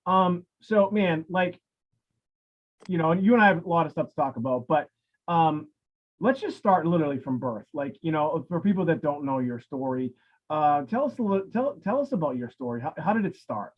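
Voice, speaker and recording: A man's voice: on the high side, lively intonation, moderate pace, lo-fi sound. A man hosting a live interview show, enthusiastic and rambling as he introduces his guest. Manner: enthusiastic and rambling, many fillers and restarts. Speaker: podcast host.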